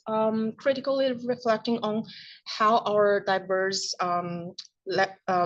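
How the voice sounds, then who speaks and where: A woman giving an academic conference presentation in a measured way, with occasional filler sounds. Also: fairly high pitch. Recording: dull lo-fi recording.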